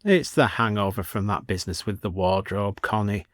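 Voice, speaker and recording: A man's voice: lowish voice, normal speed, dry recording, clean studio sound, natural voice. A man voicing a distracted, unruffled male village doctor in a story.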